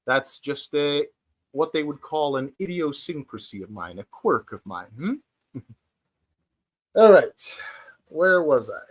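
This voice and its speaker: A man of forty hosting a casual livestream chat from home, speaking hesitantly with many fillers and restarts and pausing to read out viewer comments. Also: on the high side, animated. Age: 40s. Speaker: livestream host. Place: at home, sitting on the floor.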